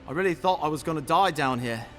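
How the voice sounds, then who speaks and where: A man's voice: a little high, expressive, fast, a bit bright. An actor playing a wounded expedition member in a video game, a coarse, folksy grumbler who is bitter at his crew. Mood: wry, grateful. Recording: music behind the voice. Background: game music.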